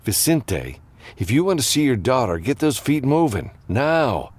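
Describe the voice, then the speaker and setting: Deep, terse gunslinger pilot read by a man. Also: huge pitch swings, normal speed, slightly rough, exaggerated voice. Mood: urgent, commanding. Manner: terse, urgent orders. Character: gruff, commanding male freelance gunslinger and spaceship pilot. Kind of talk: audiobook character dialogue.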